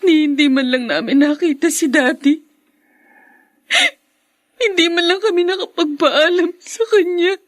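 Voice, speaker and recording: A woman's voice: very high-pitched, measured pace, pauses often, dry recording. A woman with a Filipino accent playing a grieving, emotional married daughter in a radio drama. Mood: tearful shock.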